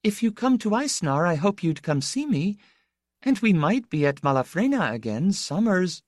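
A man voicing a gentle, hesitant young woman in an audiobook, politely calm, with a thin, sweetly modulated voice. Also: a little high, moderate pace, no room sound, studio quality, voice pushed up. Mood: hesitant, wistful. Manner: hesitant, soft-spoken. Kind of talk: audiobook character dialogue.

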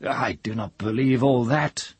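A man's voice: mid-range voice, unhurried, breathy edge, no room sound, pitched above the performer's own voice. A man voicing a heavyset woman in a story, smug, blunt and dismissive. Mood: scornful, suspicious.

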